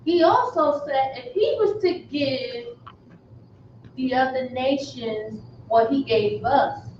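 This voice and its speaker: Female class member, fairly high, unhurried, muffled lo-fi sound with faint noise. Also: expressive, darkish tone, reverberant, distant mic. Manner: measured, citing scripture. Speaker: class participant.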